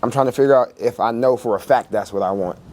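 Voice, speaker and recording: A man in his twenties, a podcast guest, talking in a relaxed, rambling way with lots of fillers and laughs. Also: mid-range voice, fast, a little hiss or hum. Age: 20s. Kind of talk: casual podcast chat.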